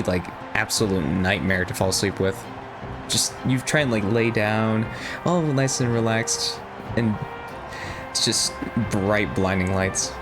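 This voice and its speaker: A man giving casual, slangy livestream commentary on a game, in a joking, giggly manner. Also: lowish voice. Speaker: game streamer. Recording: game music behind the voice.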